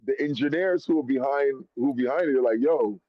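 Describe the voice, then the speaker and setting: A man from Trinidad, a rapper guest, talking in an animated, slang-heavy way in a casual chat. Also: medium pitch, brisk, no echo. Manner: animated, slang-heavy, calls host bro. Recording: dull, dry lo-fi sound.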